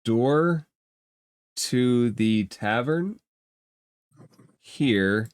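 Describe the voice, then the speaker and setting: Slow-paced, animated male game master. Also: mid-range voice, dry recording, studio quality. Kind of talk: narrating a tabletop game.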